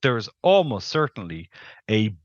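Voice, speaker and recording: A middle-aged man with a fairly low voice talks as an expert guest, explaining in an informal, emphatic way. He is close on a headset mic in a dry space, and the sound is slightly muffled. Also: sing-song, normal speed, no room sound. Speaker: planetary scientist, interview guest. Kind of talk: explanatory interview talk. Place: indoors on a video call.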